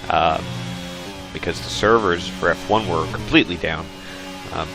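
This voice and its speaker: A man commentating a sim racing league race in a casual, chatty way, his voice worn and hoarse. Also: low voice. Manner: chatty, many fillers. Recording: game engine sounds and music behind.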